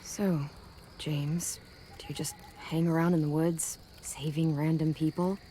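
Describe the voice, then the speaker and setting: A woman playing a wary, caring teenage girl survivor in a video game drama, speaking softly and plainly in a subdued manner. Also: low-pitched, level tone, measured pace, slight background noise, poor audio. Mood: wary, curious.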